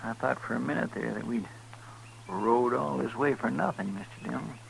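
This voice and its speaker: Gravelly, folksy western sidekick. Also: male voice, mid-range voice, moderate pace. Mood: folksy, uneasy. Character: chatty, folksy, deferential frontier deputy sidekick. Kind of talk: 1950s radio western acting. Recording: faintly noisy 1950s radio broadcast.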